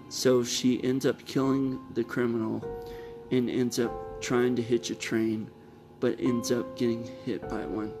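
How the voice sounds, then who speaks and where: Slow, halting male podcast host. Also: mid-range voice, vocal fry. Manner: halting, with frequent self-corrections. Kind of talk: casual plot summary. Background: music.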